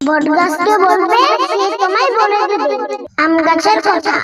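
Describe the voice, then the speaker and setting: A woman's voice: very high voice, measured pace, a loud crowd. A woman voicing a friendly, helpful talking stone in a cartoon. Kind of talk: cartoon character voice.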